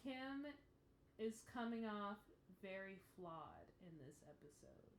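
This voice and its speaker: A woman giving a casual, expressive spoken reaction and review, emphatic with thoughtful pauses. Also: mid-range voice, vocal fry, a little hiss or hum. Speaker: reaction show host. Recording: echoey room.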